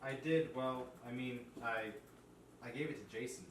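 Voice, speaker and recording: A man playing a laid-back young housemate with a low-key, offhand delivery in a casual table read. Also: normal speed, close to an everyday voice. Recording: roomy, distant mic, faint noise.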